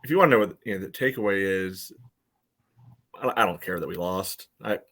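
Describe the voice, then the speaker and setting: A man's voice: low-pitched, fairly quick, a bit bright. A man co-hosting a fan podcast, talking bluntly and sardonically, with some swearing. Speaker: podcast co-host. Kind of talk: casual sports podcast chat.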